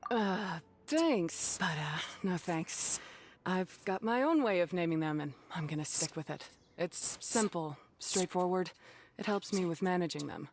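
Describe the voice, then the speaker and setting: A woman's voice: fairly low pitch, expressive, measured pace, background noise. A woman playing a blunt, matter-of-fact, self-deprecating beast tamer in a video game. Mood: firm. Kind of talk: English video game voice acting. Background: game music.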